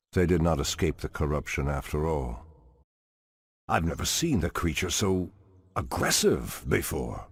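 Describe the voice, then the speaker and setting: An actor voicing an ancient, noble night elf archdruid in a video game, with a husky, solemn, formal and theatrical delivery. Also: male voice, very deep voice, dry recording, studio quality, exaggerated voice. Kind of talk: fantasy video game character dialogue.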